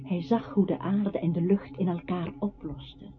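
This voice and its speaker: A woman playing a calm, ominous disembodied voice that haunts a man, narrating gravely and with measure in a radio play. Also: lowish voice, normal speed, lightly acted. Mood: grave narration. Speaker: radio drama narrator-character. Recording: thin phone-like old radio recording. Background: music.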